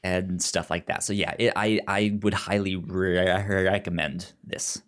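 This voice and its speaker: A man co-hosting a podcast in casual film discussion, halting and often repeating words as he goes. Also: low-pitched, level tone, a bit bright. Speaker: podcast co-host.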